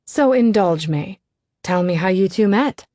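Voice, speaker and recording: A woman's voice: mid-range voice, lively intonation, measured pace, mellow, dry recording, lightly acted. A woman voicing a perky, overly friendly young woman who talks eagerly. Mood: bubbly. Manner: bubbly, eager, chatty.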